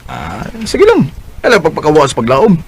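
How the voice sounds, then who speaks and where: A man's voice: fairly high pitch, huge pitch swings, moderate pace, a little hiss or hum. A man playing a chummy, teasing young Cebuano friend who nudges and encourages, in a Filipino radio drama. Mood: encouraging. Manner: chummy, nudging. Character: teasing, encouraging young male buddy of the suitor. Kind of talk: Bisaya radio drama acting.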